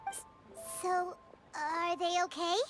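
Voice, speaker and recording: A woman voicing a small, excitable childlike fairy companion in a video game, squeaky, eager and chirpy. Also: takes it slowly, over music. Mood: worried.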